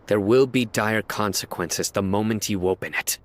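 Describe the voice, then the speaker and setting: An actor playing a stern, terse young judge in a video game, speaking in short, clipped lines. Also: male voice, on the low side, moderate pace, lightly acted. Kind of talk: game cutscene voice acting.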